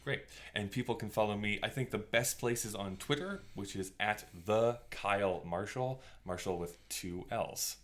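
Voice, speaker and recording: A man's voice: lowish voice, moderate pace, hardly any pauses. A man co-hosting a podcast in casual conversation, with a joking, teasing way of talking. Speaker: podcast co-host.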